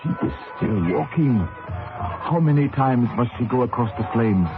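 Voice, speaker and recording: An actor in an old radio drama playing an earnest, mystical mechanic who speaks halting foreign-sounding English in short, simple sentences. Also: male voice, mid-range voice, animated, over music. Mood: anxious awe. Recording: noisy muffled narrowband old radio broadcast.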